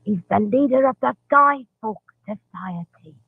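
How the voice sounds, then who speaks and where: A woman's voice: a little high, expressive, measured pace, very noisy. A woman in an old radio drama playing a chatty, conspiratorial underworld recruiter who coaxes and pries. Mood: confiding. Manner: coaxing, inquisitive. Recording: tinny lo-fi vintage radio recording.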